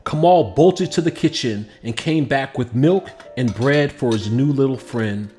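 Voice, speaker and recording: A man's voice: medium pitch, measured pace, a little mellow. Man narrating a children's story over music. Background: background music.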